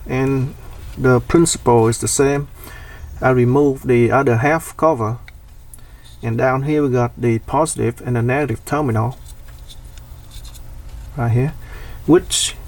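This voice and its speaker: A man's voice: medium pitch, darkish tone. A man giving a casual hands-on DIY demonstration, explaining step by step in short, plain phrases. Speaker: DIY tutorial host. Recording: distant mic, background noise.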